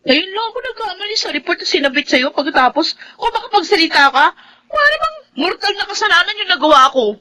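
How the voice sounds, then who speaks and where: An actress with a Filipino accent playing a feisty, indignant office employee in a radio drama. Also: female voice, very high voice, sing-song, fast, pushed and bright, full character voice.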